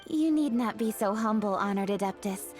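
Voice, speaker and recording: A woman's voice: mid-range voice, lively intonation, normal speed, music in the background, lightly acted. A woman playing a poised, respectful young female official in a video game, speaking politely and with formal assurance. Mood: respectful, assured.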